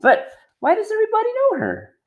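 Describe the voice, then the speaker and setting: A man's voice: very high-pitched, wildly swooping pitch, brisk, narrow, tinny audio, voice pushed up, full character voice. A man voicing a puzzled, innocent male wooden villager in a storybook.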